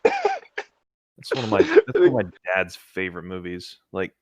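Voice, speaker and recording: Male co-host, lowish voice, swooping expressive delivery, playful read-aloud with off-the-cuff banter. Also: measured pace, dry recording, lo-fi sound. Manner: playful, jokey, chatty. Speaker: co-host reading and riffing on internet horror stories.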